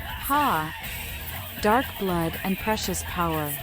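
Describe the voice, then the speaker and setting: Cryptic, slightly low madwoman vampire. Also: lively intonation, takes it slowly, low-quality recording. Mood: eerie, cryptic. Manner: riddling, oracular. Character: cryptic mad female Malkavian vampire, eerie and riddling. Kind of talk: video game dialogue. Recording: music behind the voice.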